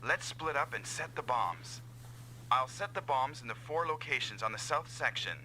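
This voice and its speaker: An actor playing an earnest, self-doubting comrade pilot who is eager to help, in a dubbed anime-style video game. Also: male voice, medium pitch, expressive, normal speed, pushed and bright, a little hiss or hum, rough recording. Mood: purposeful.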